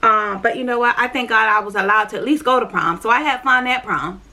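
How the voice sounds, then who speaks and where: A woman's voice: a little high, a little fast, bright, forceful tone. A woman vlogger telling her own life story in a candid, rambling way, full of fillers and repeats, talking straight to her viewers. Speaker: vlogger sharing her spiritual journey. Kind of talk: casual personal storytelling.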